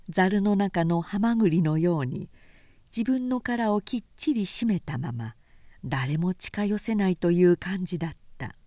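A woman reading literary fiction aloud as a narrator, in a measured, composed and articulate way. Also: on the low side, mellow, dry recording, studio quality. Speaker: narrator, reader.

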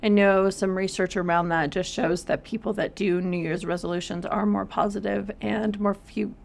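A middle-aged woman co-hosting a podcast, talking in a reflective, measured way. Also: medium pitch, fairly even intonation, gravelly. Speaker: podcast co-host, counselor. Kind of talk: casual podcast chat.

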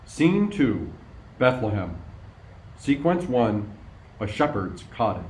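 A male narrator reads scripted radio play narration with a lively, swinging pitch. The room echoes around him, with faint noise. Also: slow. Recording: roomy sound with faint noise.

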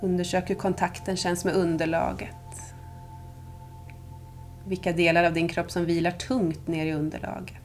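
A woman leading a guided yoga nidra meditation as instructor, calm, soothing and unhurried, with long pauses. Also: on the low side, soft background music.

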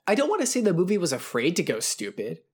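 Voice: mid-range voice, wildly swooping pitch, quick pace, slightly rough. A podcast co-host in a casual film review chat, rambling and analytical with many fillers.

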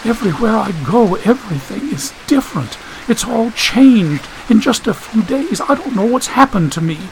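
A man's voice: high voice, lively intonation, normal speed, gravelly, a little hiss or hum, pitched above the performer's own voice, poor audio. A man voicing a frightened, sick young woman in an audiobook, plaintive and polite. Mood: distressed. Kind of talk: audiobook character voice.

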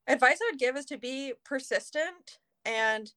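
A woman's voice: high-pitched, normal speed, sharp tone. A woman in her early twenties from Canada, a singer chatting casually as a guest in an interview and leaning on "like" between phrases. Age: early 20s. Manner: chatty, heavy use of "like" fillers.

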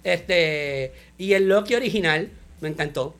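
A man, co-hosting a casual show review chat, animated and mixing in English words. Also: on the high side, measured pace. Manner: animated, mixes English words, laughs often. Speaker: podcast co-host.

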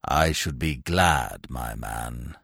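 A man voicing a cold, nasty, imperious older gentleman in a stiff, commanding, deliberate way for a comic story. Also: creaky, no room sound, clean studio sound, full character voice. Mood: icy, stern. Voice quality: cold, low voice.

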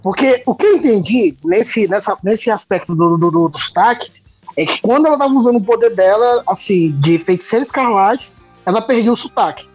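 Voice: mid-range voice, lively intonation, brisk, darkish tone, husky, no room sound, soft background music. A podcast co-host in casual chat, hesitant and repeating words as they start thoughts.

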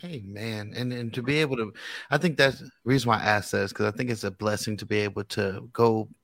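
A man's voice: lowish voice, steady tone, fairly quick, gravelly, fairly bright tone, no echo. A man with an American accent hosting a radio interview in an informal, encouraging, slangy way. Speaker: gospel radio host. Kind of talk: casual interview chat.

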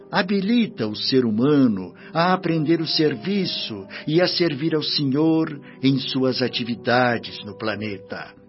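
A stern, wise spirit minister admonishing a disciple in a radio drama. His speech is formal and measured, with expressive, swinging pitch. The recording is slightly dull, with faint music underneath. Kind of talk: radio drama acting, preachy dialogue.

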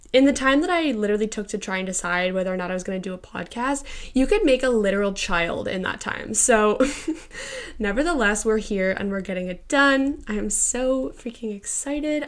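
A woman of twenty hosting her first solo podcast episode from a quiet room at home. She talks in an excitable, gushing way, full of "like" and "literally". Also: mid-range voice. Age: 20s. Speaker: podcast host. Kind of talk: casual solo podcast chat. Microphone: home podcast microphone.

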